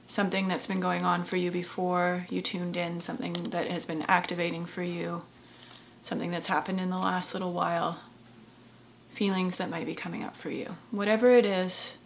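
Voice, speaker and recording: Creaky-voiced woman guiding a meditation. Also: on the low side, a little hiss or hum. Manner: gentle, soft-spoken, unhurried with long pauses. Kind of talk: guided meditation.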